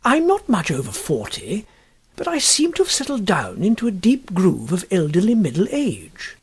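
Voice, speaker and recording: A man voicing a prim, fretful, middle-aged gentleman in a storybook reading, speaking with fussy precision. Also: sits high, wildly swooping pitch, moderate pace, slightly rough, exaggerated voice. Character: fussy, staid, easily upset middle-aged English gentleman.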